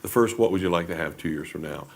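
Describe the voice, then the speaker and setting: A man speaking on a discussion panel, halting, with repeated stammering restarts. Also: low voice, vocal fry.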